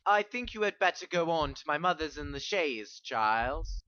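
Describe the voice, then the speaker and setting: A woman voicing an anxious young gentleman who speaks in an urgent, tremulous way. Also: low-pitched, animated, moderate pace, no room sound, highs cut off, voice pushed down.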